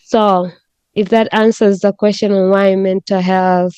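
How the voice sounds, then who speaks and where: A woman's voice: medium pitch, flat delivery, unhurried, darkish tone, clear, resonant tone, dry recording, dull audio. A woman from Tanzania, a podcast guest speaking frankly and with animation, swearing freely. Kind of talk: candid conversational storytelling.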